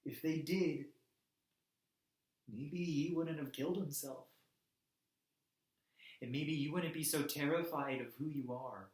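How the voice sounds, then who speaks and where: A man's voice: a little high, darkish tone. Man acting an impassioned activist, echoey room. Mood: indignant. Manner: emphatic, halting delivery in short weighted phrases. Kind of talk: theatrical dramatic monologue.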